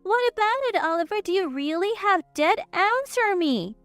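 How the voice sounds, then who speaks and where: Woman doing a demanding older mother-in-law, really high pitched and dramatic, audio storybook drama. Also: lively intonation, normal speed, fairly bright tone, dry recording, soft background music. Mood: demanding, alarmed.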